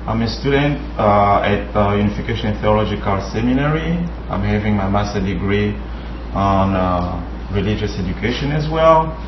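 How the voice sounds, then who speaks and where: A young man from Congo speaks in a lowish voice, earnest and hesitant, with many fillers. The small studio sounds roomy and muffled, with clear hiss underneath. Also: animated, moderate pace, recorded from a distance. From Democratic Republic of Congo. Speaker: guest, seminary student. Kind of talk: self-introduction on local TV show. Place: small studio with green screen. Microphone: desk mic on stand.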